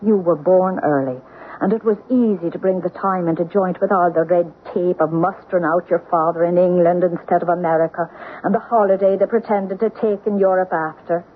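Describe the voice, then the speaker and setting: An actress playing an older Irish woman who gravely tells family secrets in deliberate, lilting radio-drama storytelling. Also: on the low side, steady tone, close to an everyday voice. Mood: confiding. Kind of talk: old-time radio drama acting. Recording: tinny, noisy old radio broadcast.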